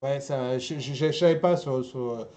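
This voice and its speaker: A man's voice: medium pitch, normal speed, crowd noise. A man hosting a casual group chat, joking and teasing. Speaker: host of a pop-culture show.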